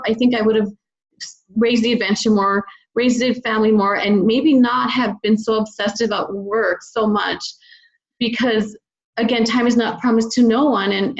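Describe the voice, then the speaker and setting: A woman's voice: on the high side, highs cut off. A woman speaking as a podcast guest, reflecting in an earnest, encouraging, conversational way. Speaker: podcast guest, children's book author. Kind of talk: conversational interview, personal reflection.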